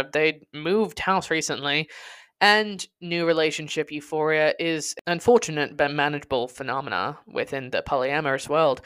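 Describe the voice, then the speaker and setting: A woman's voice: deep voice, a bit bright, clean tone. A woman reading a scripted first-person story in a literary, dramatic storytelling manner. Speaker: podcast host and narrator.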